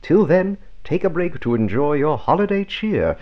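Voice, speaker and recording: A man announces in formal, old-time radio fashion. His voice is a little high and very lively in pitch, and it comes through dull and lo-fi. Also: moderate pace. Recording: dull lo-fi sound.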